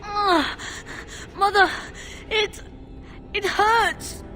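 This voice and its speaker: Very high, whimpering little boy. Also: steady tone, pushed and bright, faint music behind, heavily acted. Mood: agonized. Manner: weak, halting, whimpering. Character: suffering, frightened young boy calling for his mother. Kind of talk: dramatic video game voice acting.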